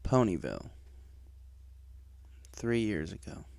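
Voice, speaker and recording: A man's voice: fairly low pitch, sing-song, slow pace, vocal fry, darkish tone, no echo. A man reading a story aloud as a scripted narration, in the manner of an audiobook. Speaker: audiobook reader of fan fiction.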